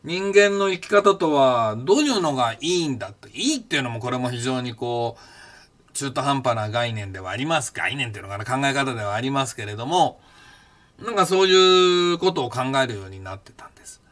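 A man's voice: mid-range voice, animated, a little fast, fairly bright tone. An older Japanese man hosting a reflective monologue, musing aloud in a rambling way with many fillers and restarts. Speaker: podcast host recounting his past.

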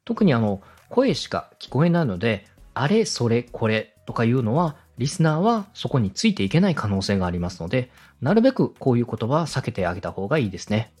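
A man's voice: medium pitch, very animated, a little fast, few pauses, darkish tone, breathy edge, soft background music. A man hosting a show, politely giving step-by-step advice on presenting and slipping in example phrases. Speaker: radio director and podcast host giving advice.

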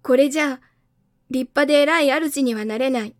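Woman as small boy prince, high voice, childlike, wistful, naive, storybook reading. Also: normal speed, no echo, clean studio sound, lightly acted. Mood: dejected.

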